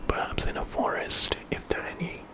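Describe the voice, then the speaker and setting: An old, wise village healer from an ASMR tale, acted out by a man, speaks. He whispers in a measured, matter-of-fact way. His counsel is firm and insistent. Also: sharp tone, lightly acted. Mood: firm, instructive.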